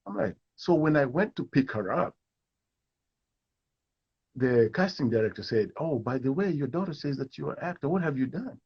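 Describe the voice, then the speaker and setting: A man's voice: fairly high pitch, moderate pace, slightly rough, highs cut off. A middle-aged man from Kenya, an actor, telling stories in an interview and acting out the voices of people he quotes. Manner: animated storyteller who acts out quoted voices. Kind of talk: storytelling in a live interview.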